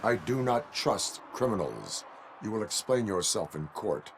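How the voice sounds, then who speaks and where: An actor playing a stoic, rigidly lawful cyborg police officer in a video game, speaking in clipped, formal, deadpan phrases. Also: male voice, fairly low pitch, unhurried, creaky, noisy background, full character voice. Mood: commanding, cold. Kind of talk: video game cutscene acting.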